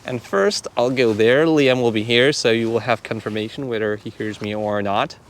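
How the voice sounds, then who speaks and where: A man's voice: mid-range voice, normal speed. A man giving a casual, chatty product demonstration as a vlogger.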